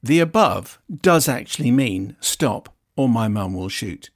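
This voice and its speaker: A man's voice: mid-range voice, animated, measured pace. A man hosting a radio film show, reading listener emails aloud in a chatty way with wry asides.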